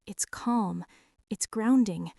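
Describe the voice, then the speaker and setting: A woman performing a soft ASMR roleplay as an insecure woman CEO confessing her feelings to an employee, talking in a hushed, halting way that slips into whispers. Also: mid-range voice, gravelly, breathy edge, dry recording, clean studio sound, heavily acted.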